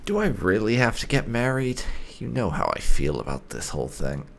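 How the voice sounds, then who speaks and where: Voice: mid-range voice, sing-song, normal speed, creaky, a little hiss or hum. A gamer voicing a reluctant, grumbling young elf bride in a whining, sarcastic way. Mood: protesting.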